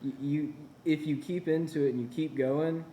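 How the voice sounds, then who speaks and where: A young man in his twenties talks about running his own small business. He sounds earnest and encouraging and often repeats his points. His voice is mellow, and the room is echoey with a faint low rumble behind him. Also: steady tone, normal speed. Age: 20s. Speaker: business owner and guest. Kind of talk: casual interview answers.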